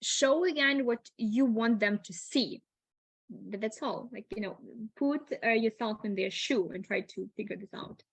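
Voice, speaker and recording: A woman's voice: a little high, a little slow, vocal fry, breathy edge. A woman guest on a webinar, talking casually and repeating words as she thinks aloud. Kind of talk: casual online talk.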